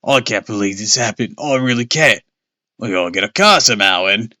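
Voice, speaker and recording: Panicked dad, high and loud, sputtering and shrieking, put on by a male reader. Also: expressive, a little fast, no echo. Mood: upset. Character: panicked frantic middle-aged father, hot-tempered.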